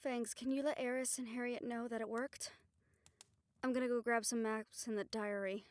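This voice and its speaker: Woman playing a steady, higher-voiced young hunter. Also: normal speed, close to an everyday voice. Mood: practical. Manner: calming, practical. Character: level-headed young woman hunter, steady caring leader. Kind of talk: dramatic audio roleplay acting.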